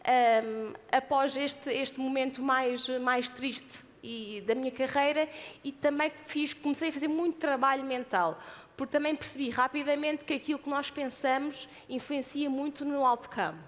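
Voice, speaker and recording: A high-pitched woman with a European Portuguese accent tells her own story as an athlete on a stage. She talks earnestly, pausing and restarting her sentences often, and her voice rings with the long reverb of a large hall. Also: fairly even intonation. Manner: earnest, with frequent hesitations and restarts. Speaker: athlete giving a talk. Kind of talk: personal motivational talk.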